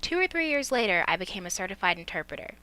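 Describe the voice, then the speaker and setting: A woman's voice: mid-range voice, sing-song, fast talker, sharp tone. A woman student interpreter voicing a signer's story in plain first-person narration.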